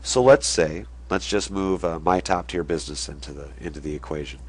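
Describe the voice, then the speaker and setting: A young man with a very low voice, blocked up and stuffy from a cold. He explains things casually and keeps stopping to check that viewers follow as he sketches. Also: brisk. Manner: conversational, drawing on a board while explaining. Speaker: online marketing video host.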